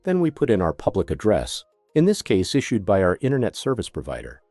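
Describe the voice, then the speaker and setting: A man's voice: fairly low pitch, huge pitch swings, brisk, mellow, dry recording, clean studio sound, faint music behind. A man narrating a scripted product tutorial as a demonstrator, giving methodical step-by-step instructions.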